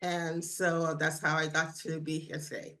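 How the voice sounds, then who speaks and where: A woman's voice: low-pitched, little pitch movement, unhurried, bright, forceful tone. A woman from New England introducing herself on a call, speaking hesitantly with many fillers. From New England, USA.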